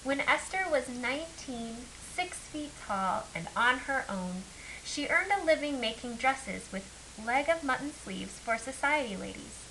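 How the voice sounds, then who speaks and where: Lady reading a kids book out loud, kinda high bright voice, bit of hiss. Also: animated, a little slow, low-quality recording. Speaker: picture-book reader. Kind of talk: expressive read-aloud narration of a children's story. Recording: clear background hiss.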